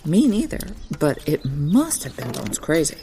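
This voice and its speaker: A woman acts a chummy, gossiping gardener in a comedy audio drama. Her lowish voice is lively and conspiratorial, full of comic slang, over faint background noise. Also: unhurried, full character voice. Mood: gossipy, conspiratorial.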